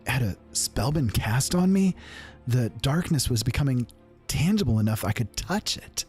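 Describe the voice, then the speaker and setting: A man narrating a dark fantasy horror story in an earnest, dramatic way. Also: mid-range voice, lively intonation, moderate pace, faint music behind.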